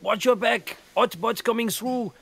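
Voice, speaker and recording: Frantic male head chef, acted by a man, high-pitched, creaky voice, barking orders, children's audio drama. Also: a little slow, a bit bright, exaggerated voice. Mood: frantic, bossy. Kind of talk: children's audio story acting.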